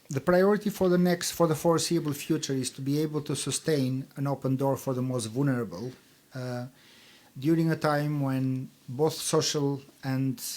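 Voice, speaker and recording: A man whose first language is Albanian speaks at a middle pitch, answering interview questions with care. His delivery is measured and hesitant, with plenty of pauses and fillers as he explains the charity's work. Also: darkish tone. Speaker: charity chief executive.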